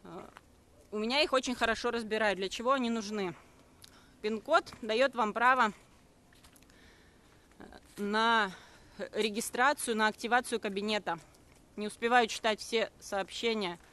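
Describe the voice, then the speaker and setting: A high-pitched woman in her thirties talks briskly into a phone held at arm's length as she walks outdoors. Her voice is bright and forceful, and she answers comments defensively, with frequent "uh"s. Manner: brisk, defensive, with many hesitations. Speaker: network marketing streamer. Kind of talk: live stream answering comments. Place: walking outdoors on a forest path.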